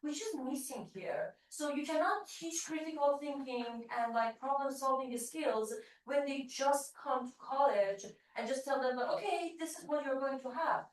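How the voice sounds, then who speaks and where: A high-pitched woman with an Iranian accent answers on a panel as a programming professor. She hesitates often, filling pauses with "uh" and "like". Her voice comes from far off in a very echoey hall. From Iran. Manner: hesitant, many fillers. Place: large event hall.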